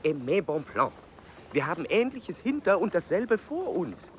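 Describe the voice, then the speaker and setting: An actor playing a young aristocratic explorer who speaks in a formal, courtly way. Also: male voice, sits high, very animated, unhurried, a little hiss or hum. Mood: eager. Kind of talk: radio play acting.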